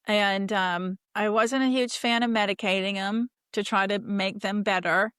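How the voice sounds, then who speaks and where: A woman's voice: medium pitch, fairly even intonation, normal speed, a bit bright, clean tone, no room sound, clean studio sound. A woman hosting a podcast interview, chatting enthusiastically and rambling with restarts. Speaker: podcast host. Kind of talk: casual interview chat.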